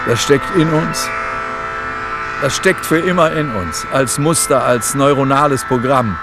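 A man's voice: medium pitch, a little slow, loud music behind the voice, natural voice. A man acting in a radio drama as an embittered scholar, a preacher's son, reminiscing with sardonic, theatrical mockery. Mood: wry, reflective. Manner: sardonic, theatrical, quotes others mockingly. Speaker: interviewee.

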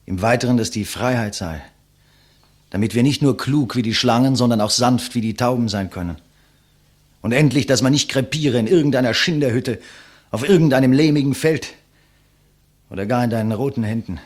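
An actor playing a condemned idealistic man in a 1950s German radio play, speaking solemnly and rhetorically with a husky voice. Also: medium pitch, fairly quick, close to an everyday voice. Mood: impassioned. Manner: solemn, rhetorical, impassioned declamation. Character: condemned man, idealistic intellectual, weary and resigned facing his executioner.

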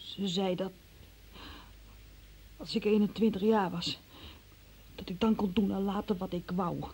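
A woman's voice: medium pitch, steady tone, a little slow, darkish tone, slightly rough. An actress playing a frightened, defensive young woman under questioning in a radio play, fearful and tearful in manner. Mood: subdued, defensive. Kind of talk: Dutch radio play acting.

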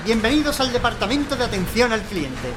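An actor playing a menacing supermarket customer-service boss, formal and mock-courteous, in a satirical radio drama. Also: male voice, extremely high pitch, big pitch swings, fairly quick, noisy background. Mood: falsely cheerful.

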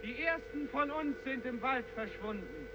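A man's voice: very high voice, very animated, normal speed. An actor playing a young fisherman in a 1950s German radio drama, solemnly announcing a march in stark short reports. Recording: muffled, noisy vintage radio recording.

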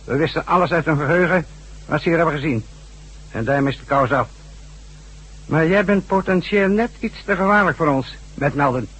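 An actor playing a ruthless, coolly mocking chief of a secret organisation in a Dutch radio drama, husky and commanding. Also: male voice, a little high, moderate pace, fairly bright tone, poor audio. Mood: coldly mocking.

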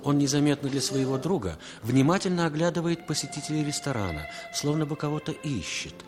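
A man's voice: on the high side, animated, fairly quick. A man reading scripted narration for a radio drama. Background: music.

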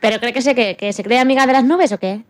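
Woman as doubting jungle critter in a radio play, scornful, highish voice. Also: fast talker, lightly acted. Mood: incredulous.

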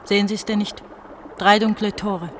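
An actress as an anguished pastor's daughter of eighteen in a radio drama. She broods haltingly in a medium-pitched voice and circles back on her words. A faint layer of ambient noise sits behind her. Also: little pitch movement, close to an everyday voice. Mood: alarmed. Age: young adult. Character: anguished eighteen-year-old pastor's daughter fleeing East Berlin. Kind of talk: German radio play acting, introspective monologue.

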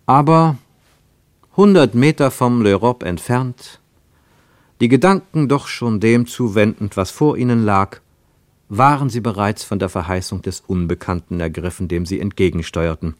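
A man narrates a literary radio play in a fairly low voice. The delivery is measured and precise, shaped with a storyteller's expression. The sound is very dry, with no room around the words. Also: no room sound.

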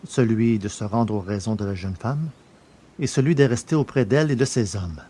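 A man's voice: lowish voice, moderate pace, warm tone, husky. A man narrating a scripted science fiction adventure story in a literary, storytelling style.

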